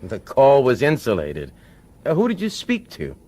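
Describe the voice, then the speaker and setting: A man's voice: mid-range voice, huge pitch swings, moderate pace, darkish tone. An anxious police boss for radio drama. He has a mid-range voice with dramatic rises and falls. His manner is hesitant and probing, with uneasy authority. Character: nervous, fretful male police commissioner, uneasy authority.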